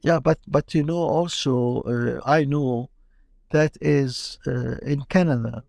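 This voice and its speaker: A middle-aged man from Palestine, a teacher speaking as a guest in a casual interview, halting and often repeating his words. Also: medium pitch, steady tone, gravelly, a little mellow, no room sound, studio quality.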